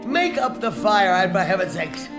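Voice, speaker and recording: An actor playing an elderly reformed miser in a radio drama, excitable and theatrical, given to exclaiming. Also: male voice, high-pitched, normal speed. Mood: jubilant generous. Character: elderly reformed miser, giddy, booming, generous. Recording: music and crowd sound behind.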